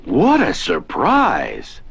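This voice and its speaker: A man narrating a children's read-along storybook in an expressive, deliberate storytelling manner. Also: on the high side, creaky, very noisy. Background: music.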